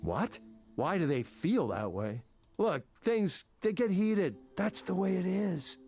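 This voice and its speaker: An actor playing an angry, embittered older tech manager, combative, sarcastic and sweary, in a visual novel game. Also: male voice, high voice, sing-song, takes it slowly, vocal fry, a little mellow, soft background music, exaggerated voice. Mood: defensive, combative.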